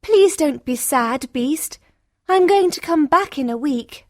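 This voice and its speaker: A woman playing a kind, gentle young heroine in a simple fairytale, speaking sweetly and politely. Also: high voice, lively intonation, slow, no echo, studio quality. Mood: grateful, content. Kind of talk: fairytale storybook dialogue for English learners.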